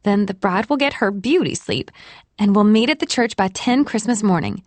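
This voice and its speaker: A woman voicing a friendly, excitable young bride-to-be who organizes her friends, in an audiobook. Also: mid-range voice, normal speed, dry recording, lightly acted. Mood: chatty.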